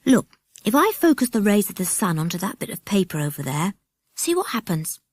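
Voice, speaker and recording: An eager young British boy from a storybook adventure, played by a woman, bold and confident. The delivery is brisk and excited, with wide swings in pitch and a dry sound. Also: no echo. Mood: excited, proud. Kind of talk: children's storybook character reading.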